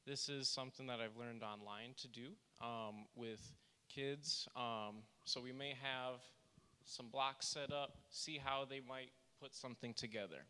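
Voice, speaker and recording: A soft-spoken man who is a therapist, giving a church workshop presentation and reading quotes aloud with earnest emphasis. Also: medium pitch, fairly even intonation, unhurried, clean tone. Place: church hall. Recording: reverberant church hall.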